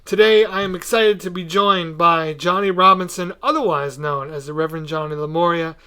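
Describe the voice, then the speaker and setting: A man hosting a podcast in a casual, informal and excitable way. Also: fairly high pitch, a little fast, smooth. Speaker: podcast host.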